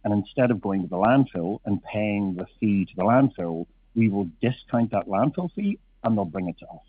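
A middle-aged man with an Irish accent, a company CEO answering questions at a conference presentation, halting with many restarts. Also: lowish voice, expressive, no echo. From Ireland. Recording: husky voice over a tinny phone-like line.

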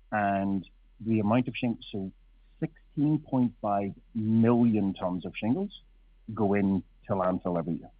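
A middle-aged man with an Irish accent, a company CEO answering questions at a conference presentation, halting with many restarts. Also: on the low side, no echo. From Ireland. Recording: husky voice over a tinny phone-like line.

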